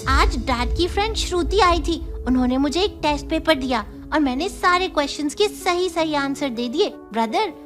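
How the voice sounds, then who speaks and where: A woman's voice: high-pitched, animated, normal speed, few pauses, a bit bright. A woman voicing a chirpy, confident, bossy little girl in an audio drama. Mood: proud, teasing. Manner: bubbly, confident. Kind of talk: Hindi audio drama acting. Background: music.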